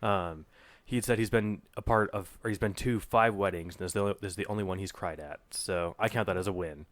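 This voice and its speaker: A man with a notably low voice, a little nasal from a cold he's getting over. He talks in a halting, restarting way, recorded close and dry with no room sound. It's a relaxed podcast chat between friends. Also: dry recording. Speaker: podcast co-host. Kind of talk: casual chat. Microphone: podcast microphone.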